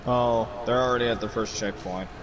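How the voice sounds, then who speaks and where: A man chats casually while streaming a game. His voice sits at an average pitch for a man. There is clear noise behind him. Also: fairly even intonation, fairly quick. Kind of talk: casual gaming chat.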